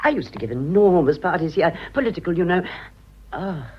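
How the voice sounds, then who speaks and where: A woman's voice: lowish voice, animated, fairly quick, slightly rough, muffled recording. An actress playing a vain, scatterbrained older society lady with a British accent in a radio drama, effusive and chattering. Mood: breezy, chatty.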